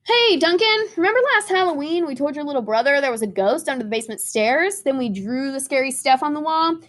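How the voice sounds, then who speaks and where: A woman voicing a frightened but chummy glow-in-the-dark crayon in a storybook, nervous and pleading. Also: high voice, lively intonation, a little fast, pitched above the performer's own voice.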